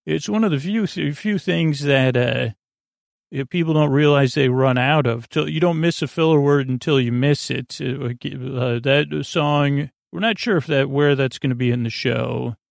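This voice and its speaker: Male sleep podcast host, gravelly and lulling, wandering off topic, very dry close sound. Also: mid-range voice, moderate pace, no room sound. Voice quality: creaky. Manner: meandering, hesitant, full of tangents and filler words; soothing, lulling delivery. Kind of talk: rambling bedtime sleep podcast monologue.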